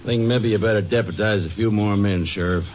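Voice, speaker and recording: A low, hard-nosed deputy played by a male actor talks accusingly in an old radio western. The sound is muffled and slightly noisy. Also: a little fast, natural voice. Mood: smug accusation. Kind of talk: 1950s radio drama acting. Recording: dull, faintly noisy old radio broadcast.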